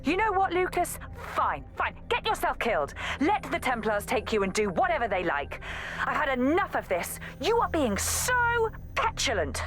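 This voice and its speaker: A woman's voice: high-pitched, wildly swooping pitch, moderate pace, fairly bright tone, soft background music, heavily acted. An actress playing an exasperated, protective young woman assassin in a video game audio log, scolding and pleading emphatically. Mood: angry.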